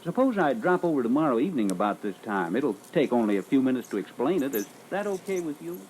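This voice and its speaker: A man's voice: mid-range voice, big pitch swings, quick pace, a little mellow, lightly acted. A man playing a polite, businesslike and persistent life insurance salesman in a scripted phone-call role-play. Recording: noisy old reel-to-reel training record.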